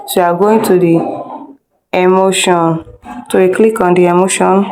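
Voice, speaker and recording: A woman's voice: lowish voice, a little mellow, slight background noise, low-quality recording. A woman teaching an informal step-by-step screen tutorial, instructing directly, repeating herself and checking that learners follow. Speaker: video editing instructor.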